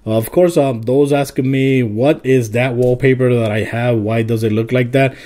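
Casual man with a slightly mellow voice. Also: medium pitch, fairly even intonation, normal speed. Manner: informal, step-by-step explaining. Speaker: tech video host. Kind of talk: casual phone app tutorial.

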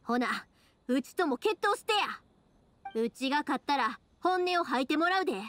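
A woman's voice: high voice, animated, normal speed, a bit bright. A woman playing a frank, stubborn young fireworks maker with a Kansai accent in a Japanese anime game. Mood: determined.

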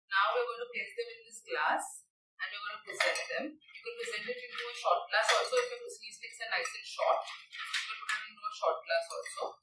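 A woman's voice: fairly high pitch, a little fast, over music. A young woman chef instructor giving a step-by-step cooking demonstration, recorded in a large teaching kitchen. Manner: instructive, step-by-step, frequent "okay" and "right". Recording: echoey kitchen, distant from mic.

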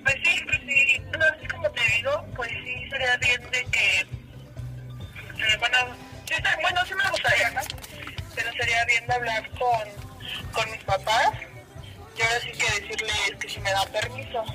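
A woman's voice: a little high, normal speed, bright, forceful tone, faint music behind, poor audio. A young woman with a Mexican accent, politely and hesitantly answering a casual call, heard over a phone speaker. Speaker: prank call recipient.

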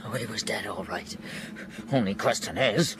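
An actor in a radio play voicing a guilt-ridden, terrified former soldier turned sailor, brooding in mutters and breaking into frantic cries. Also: male voice, fairly low pitch, moderate pace, noisy background, natural voice. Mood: haunted, grim. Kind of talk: dramatic radio play acting.

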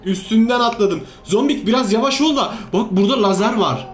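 Excitable high-voiced man playing a game. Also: a little fast. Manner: excitable, exclamatory, repeats commands. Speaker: gaming video host. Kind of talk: playful game commentary. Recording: roomy echo, music underneath. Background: background music.

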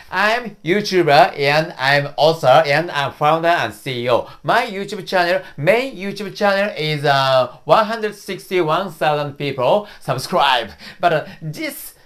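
A Japanese-accented man in his fifties, a native Japanese speaker, teaching a casual lesson from a small studio room. He talks slowly, drills words again and again, and his pitch swings up and down a lot. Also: a bit bright. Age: 50s. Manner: slow, repetitive drilling with cheerful laughs. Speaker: YouTube host and teacher.

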